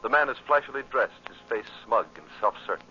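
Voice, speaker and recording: Vintage radio narrator, male: solemn, portentous, bright forceful edge, dull old recording. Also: mid-range voice, fairly quick, soft background music. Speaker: announcer and narrator. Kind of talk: dramatic scripted old-time radio narration.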